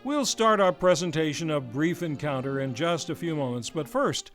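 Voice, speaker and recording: Male radio host, scripted intro, fairly high voice over faint music. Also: expressive, normal speed, fairly bright tone.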